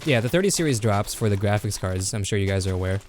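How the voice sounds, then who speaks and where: A young man hosting a casual gaming chat, excitable, swearing and saying "bro" a lot. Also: fairly low pitch, quick pace. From Kansas. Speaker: podcast host.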